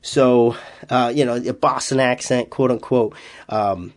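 A man's voice: mid-range voice, fairly even intonation, measured pace. A man from Massachusetts with a slight Massachusetts accent, a strength coach hosting his own podcast and talking casually in an upbeat, rambling way with lots of fillers. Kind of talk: casual solo podcast talk.

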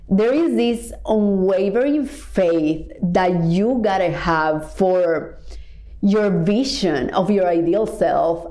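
A woman's voice: lowish voice, mellow, echoey room. A woman born in Cuba, a life coach and podcast host, talking earnestly in a reflective motivational monologue, stretching key words and building on repeated phrases.

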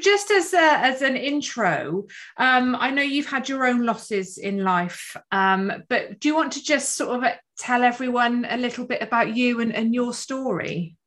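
A woman hosting a podcast interview, speaking thoughtfully with many pauses and restarts. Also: medium pitch. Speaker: podcast host.

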